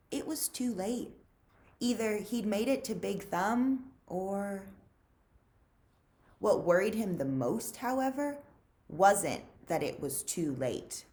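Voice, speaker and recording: A woman reading a children's novel aloud as a librarian would, with measured, dramatic emphasis. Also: mid-range voice, darkish tone. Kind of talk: expressive storybook read-aloud.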